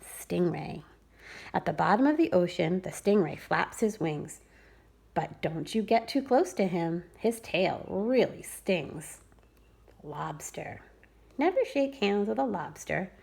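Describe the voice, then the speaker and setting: A woman preschool teacher reading a rhyming picture book aloud to children with expressive storytelling. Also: fairly low pitch, takes it slowly, gravelly, husky. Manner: expressive, sing-song storytelling.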